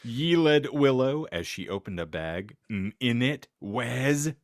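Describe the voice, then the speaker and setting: A man's voice: medium pitch, animated, slow, no room sound, clean studio sound. A man hosting a podcast, giving a comedic, mock-serious dramatic reading with banter. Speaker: podcast host.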